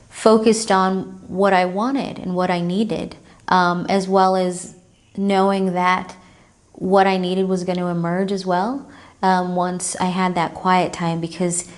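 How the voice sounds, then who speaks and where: A woman giving a steady testimony as a retreat participant, with "um" and "like" fillers. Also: lowish voice. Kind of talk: documentary testimony.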